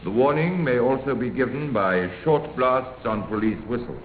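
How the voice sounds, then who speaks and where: A man's voice: medium pitch, moderate pace, a little hiss or hum. A man with a British accent reading a formal, official public announcement in 1940s wartime style. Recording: echoing, thin phone-like old radio broadcast.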